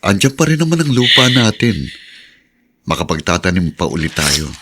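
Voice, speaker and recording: A man's voice: on the low side, very animated, normal speed, pushed and bright, a little hiss or hum. An actor playing an earnest, steadfast farmer husband and father in a radio drama, encouraging in manner. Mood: reassuring.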